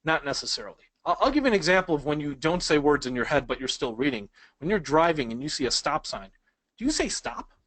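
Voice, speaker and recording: Guy teaching a speed reading webinar, polish is his first language, slightly high voice, talks casually, dry mic. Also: very animated, fast talker, a bit bright, dry recording. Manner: conversational, asks the audience questions. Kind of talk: webinar lesson.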